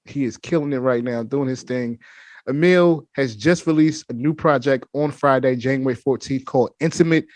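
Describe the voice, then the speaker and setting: A male podcast host talks with eager, hyped energy and repeats his phrases as he hypes things up for his listeners. His voice sits at an ordinary middle pitch, and the home studio gives it a close, dry sound with no room echo. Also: normal speed, darkish tone. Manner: hyped, repeats himself, calls listeners family. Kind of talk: casual interview chat.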